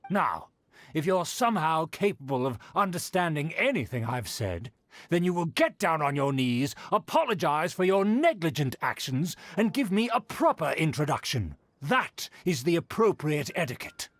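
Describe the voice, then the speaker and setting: A man's voice: on the high side, big pitch swings, moderate pace, no echo. A man playing a pompous, indignant aristocrat in a video game, speaking in a haughty, formal, scolding manner. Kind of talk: scripted video game character acting.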